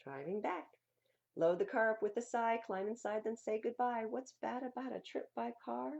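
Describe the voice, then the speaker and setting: A woman reading a picture book aloud at a library storytime in a playful, theatrical way, with a husky voice. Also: on the high side, moderate pace, darkish tone, dull audio. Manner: playful, theatrical, stresses and repeats words for young listeners. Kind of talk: expressive read-aloud of children's picture books.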